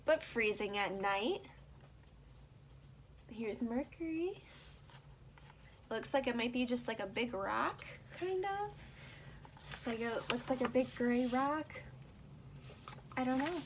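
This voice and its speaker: Slow, high-voiced woman reading to children. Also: fairly bright tone, slight background noise. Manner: slow, simple, child-directed explaining with questions to listeners. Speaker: reader of a children's book, teaching young listeners. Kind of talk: read-aloud of a rhyming picture book with casual asides.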